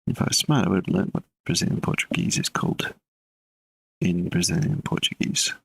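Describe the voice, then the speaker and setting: Low gravelly man coding live. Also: brisk, no room sound, rough recording. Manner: relaxed, mutters while working, chats with viewers between code. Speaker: game developer streaming live. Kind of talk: live coding stream, thinking aloud.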